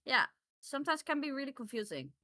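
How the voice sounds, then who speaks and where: A woman with a bright, somewhat high voice chats casually as a podcast co-host. English is not her first language, and her phrasing shows it. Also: big pitch swings, fast. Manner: non-native phrasing. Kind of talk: casual discussion of audiobooks.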